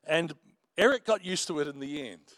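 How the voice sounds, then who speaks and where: A middle-aged man preaches with a big voice, telling stories from the pulpit and poking fun at himself. His voice sits a touch high for a man. The sound is dry, with little room around it. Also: normal speed, no echo. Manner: animated storytelling preacher, warm asides and self-mocking laughs. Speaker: pastor. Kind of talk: sermon.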